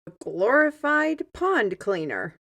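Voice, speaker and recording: A woman's voice: fairly high pitch, big pitch swings, takes it slowly, gravelly, pushed and bright, heavily acted. A woman playing a scrappy, sardonic squire in a fantasy audio drama, with a wry and informal way of talking. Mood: wry, skeptical. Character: sardonic, scrappy female squire, quick to quip.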